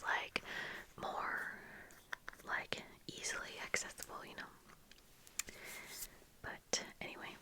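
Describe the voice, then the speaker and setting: A young woman whispering a casual, rambling ASMR get-ready chat, breathy and unhurried, close to the microphone. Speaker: ASMR video creator. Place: small indoor room with bookshelves. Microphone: close fluffy-covered ASMR microphone, earphones worn.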